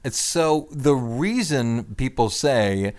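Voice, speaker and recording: A man hosting a conversational radio talk show with a radio announcer's deep voice, explaining and bantering in an opinionated way. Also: lively intonation, slow, darkish tone.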